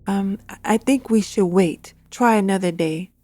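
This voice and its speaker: A woman's voice: mid-range voice, moderate pace, mellow, dry recording, natural voice. A woman voicing a guilt-ridden male king who stammers and pleads in an audio drama. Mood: nervous. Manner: hesitant, pleading.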